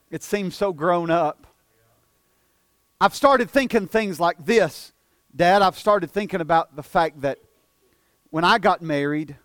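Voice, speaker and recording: A man of forty preaching a sermon as pastor, earnest, often repeating and stumbling over words, dropping soft for emphasis. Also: a little high, dry recording. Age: 40s.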